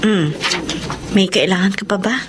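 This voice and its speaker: A woman playing a caring, self-sacrificing young elder sister in a radio drama, gentle and emotional, with a Filipino accent. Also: medium pitch, moderate pace, background noise, natural voice. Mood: caring, reassuring. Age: young adult.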